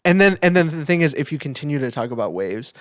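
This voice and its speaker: A man's voice: fairly high pitch, expressive, quick pace, no echo. A man co-hosting a podcast in casual chat, informal and searching for his words, speaking into a close microphone. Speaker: podcast co-host.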